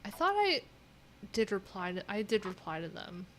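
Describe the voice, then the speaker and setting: A woman's voice: mid-range voice, very animated, normal speed, a bit bright, slight background noise. A woman livestream host chatting casually and reading things aloud, with wry, informal, rambling asides. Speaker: livestream host, web developer.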